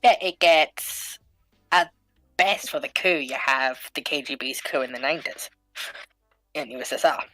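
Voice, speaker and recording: A woman's voice: very deep voice, a little slow, long pauses, sharp tone, dry recording, soft background music. A woman taking part in a casual panel discussion.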